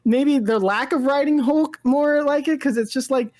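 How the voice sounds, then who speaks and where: A woman's voice: high voice, moderate pace, darkish tone. A woman co-hosting a pop-culture podcast in casual chat, rambling and hedging as she talks informally. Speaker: podcast co-host.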